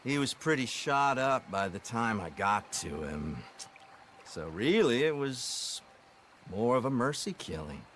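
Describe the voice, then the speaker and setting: A sharp, forceful male detective speaks with pompous formality and menace. His pitch rises and falls widely as he leans on chosen words, a self-righteous villain from a Western game. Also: sing-song, slight background noise. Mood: cold menace. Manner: formal, pompous, deliberate emphasis. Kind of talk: cinematic Western video game acting.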